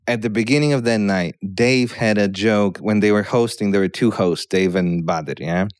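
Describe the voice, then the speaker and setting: A man who grew up in Poland, with Polish as his first language, talks through a long story in an easy, meandering way and checks in with "yeah?" along the way. His voice sits low and comes across clean and resonant. The recording is dry and close. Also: normal speed, darkish tone, clean tone, no room sound. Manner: rambling, frequent "yeah?" check-ins. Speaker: guest comedian. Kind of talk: casual podcast storytelling.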